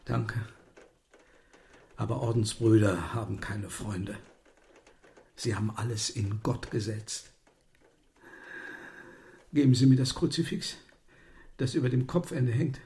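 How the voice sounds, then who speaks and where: An actor playing a gravely ill, austere priest in a radio play, weak, laboured and resigned. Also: male voice, medium pitch, slow pace, pauses often, warm tone. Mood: feeble resignation.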